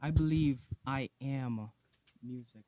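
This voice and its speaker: A man from Jamaica, a music artist being interviewed on a podcast, telling his story in a relaxed, slangy way with lots of repeated phrases. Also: mid-range voice, slow, no room sound.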